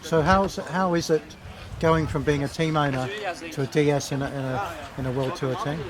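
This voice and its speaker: Male reporter, chatty and teasing, higher-ish voice, crowd noise outdoors. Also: level tone, moderate pace. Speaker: cycling reporter. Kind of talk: casual interview questions. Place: outdoors at a race finish. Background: crowd and vehicles.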